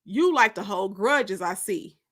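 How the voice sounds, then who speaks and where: Woman voicing a smooth, teasing college guy, slow delivery. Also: medium pitch, expressive, fairly bright tone, voice pushed down. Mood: teasing, accusing. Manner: coaxing, flirtatious. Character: smooth, teasing college man sweet-talking his ex. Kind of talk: dramatic audiobook reading.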